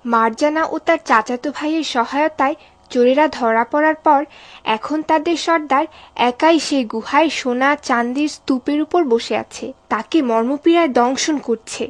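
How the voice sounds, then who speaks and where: A woman's voice: high voice, moderate pace, lightly acted. A woman giving scripted story narration for a cartoon.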